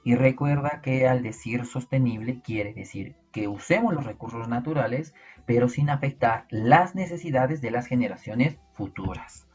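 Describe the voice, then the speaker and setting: A man's voice: mid-range voice, normal speed, darkish tone, lo-fi sound. A man with a Peruvian Spanish accent teaching an online biology class, explaining step by step and asking his students rhetorical questions. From Peru.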